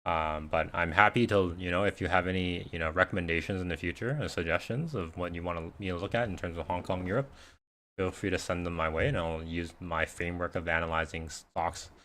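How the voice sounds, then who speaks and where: Low-voiced man chatting on a livestream. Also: steady tone, fairly quick, bright, forceful tone. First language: Cantonese. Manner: rambling, conversational, many fillers and restarts. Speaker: investing livestream host. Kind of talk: casual live Q&A chat.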